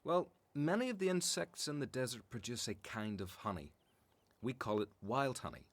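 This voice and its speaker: A man's voice: mid-range voice, very animated, normal speed, natural voice. A man playing a devout, helpful member of a desert community, explaining sincerely in a radio drama. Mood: patient, informative. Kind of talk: religious radio drama acting.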